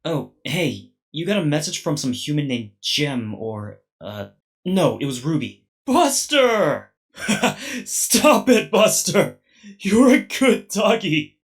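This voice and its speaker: A man playing an easygoing robot officer in an animated comic dub, sounding set back from the mic. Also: fairly high pitch, slow, full character voice. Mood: delighted. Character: easygoing male robot officer who dotes on a dog.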